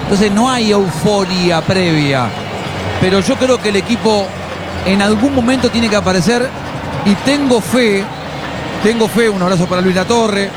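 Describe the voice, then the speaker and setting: A man's voice: high-pitched, normal speed, music in the background, crowd noise. A man chatting on sports radio, joking and greeting listeners. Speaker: radio co-host. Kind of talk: casual radio chat. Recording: loud noise behind.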